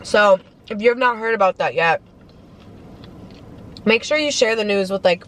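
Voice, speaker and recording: A young woman chatting casually in a parked car, talking fast and filling her talk with "like". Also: mid-range voice, a bit bright. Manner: chatty, filler-heavy, lots of "like". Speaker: vlogger. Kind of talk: casual car mukbang chat. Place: parked car at a drive-through.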